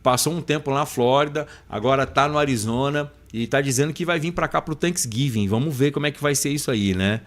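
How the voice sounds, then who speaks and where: A man's voice: medium pitch, brisk, few pauses. Brief: male podcast host, Brazilian Portuguese accent, informal and affectionate, mid-range voice, conversational delivery. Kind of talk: casual live podcast chat, reading out chat greetings.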